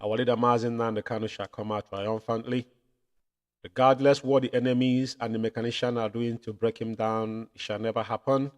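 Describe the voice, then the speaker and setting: A man hosting a political commentary show from home, building into loud, impassioned rants. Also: medium pitch, little pitch movement, fairly quick. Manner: rises to loud impassioned ranting. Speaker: show host.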